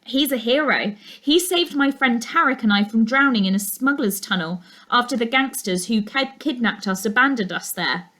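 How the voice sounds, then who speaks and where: A woman's voice: on the high side, animated, normal speed, hardly any pauses, sharp tone, voice pushed up. Woman voicing an earnest, plucky schoolgirl. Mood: pleading.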